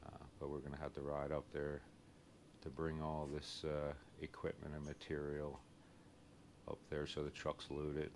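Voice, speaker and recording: A man's voice: extremely low pitch, monotone, moderate pace, slight background noise. A man with a Philadelphia accent, talking casually to camera as host of a home and lawn care show, plain-spoken and easygoing as he explains the job.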